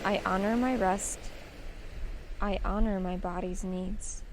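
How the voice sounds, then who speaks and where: Woman doing guided meditation, really slow n soothing, creaky voice, wavey noise behind her. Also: mid-range voice, steady tone. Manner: slow, soothing, measured instruction with pauses.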